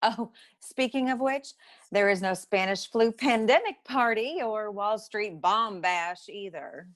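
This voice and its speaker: An actress playing a brisk, know-it-all detective agency secretary in a noir comedy audio play, curt and dryly superior. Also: female voice, medium pitch, a bit bright, natural voice. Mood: testy, curt.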